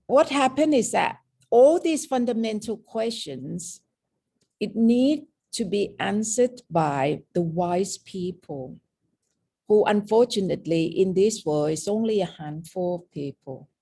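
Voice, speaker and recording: Gravelly woman with Thai-British accent. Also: fairly low pitch, warm tone. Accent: Thai and British mix. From Thailand. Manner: explaining with frequent "okay?" checks. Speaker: meditation teacher. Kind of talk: lecture on meditation.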